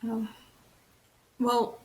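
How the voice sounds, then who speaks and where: A woman military officer giving a formal panel answer in a halting, hesitant way with many pauses.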